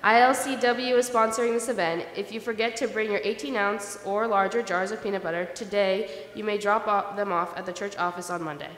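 A woman's voice: mid-range voice, fairly even intonation, brisk, hardly any pauses, a bit bright. A woman informally reading letters and announcements aloud at a stand microphone in a church. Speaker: reader of listener letters. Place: large church sanctuary. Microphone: old-fashioned stand microphone, far camera. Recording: echoey church hall, faint room noise.

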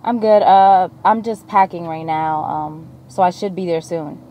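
An actress playing a reserved, secretive young exchange student from Cuba, speaking hesitantly in a naturalistic web drama. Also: female voice, lowish voice, steady tone, measured pace, mellow, natural voice. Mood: casual. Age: young adult. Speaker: actor in a web series. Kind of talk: naturalistic short-film acting. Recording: location sound with clear ambient noise.

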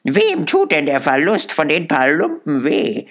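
A man's voice: on the high side, huge pitch swings, unhurried, fairly bright tone, pitched above the performer's own voice, exaggerated voice. A man voicing a brash, defiant old woman thief in an audiobook, with a coarse, taunting manner. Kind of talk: audiobook character voice.

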